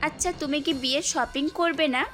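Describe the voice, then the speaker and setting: Girl doing a bossy young bride to be, high pitched, teasing, audio story w music behind. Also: fairly even intonation, fairly quick, fairly bright tone. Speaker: voice actor in an amateur audio story. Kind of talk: acted audio story dialogue. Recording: background music throughout.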